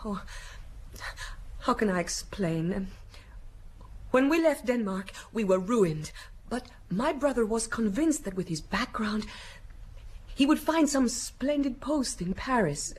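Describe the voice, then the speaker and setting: An actress playing a fragile, anxious young woman in a radio drama, hesitant, breathy and overwrought. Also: on the high side, expressive, slow pace, darkish tone, full character voice. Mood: hesitant, troubled. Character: anxious, fragile young sister of a missing man.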